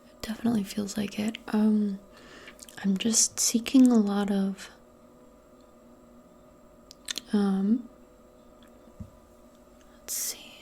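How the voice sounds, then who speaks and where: A woman playing a casual, gently awkward modern witch in a soft-spoken ASMR roleplay, speaking hesitantly in a breathy, often whispered voice. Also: medium pitch, little pitch movement, takes it slowly, long pauses, full character voice. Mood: gently concerned. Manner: hesitant, murmuring, conversational. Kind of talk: ASMR personal-attention roleplay.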